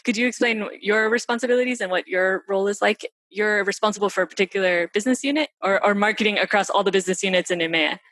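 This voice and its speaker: A woman's voice: medium pitch, fast, hardly any pauses, fairly bright tone, no room sound. A woman hosting an interview, asking questions in a friendly, upbeat way. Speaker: interview host. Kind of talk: hosted interview.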